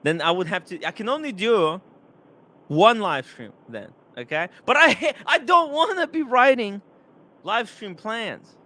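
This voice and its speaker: A young man hosting a live stream, rambling and animated, reading chat comments aloud. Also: sits high, unhurried.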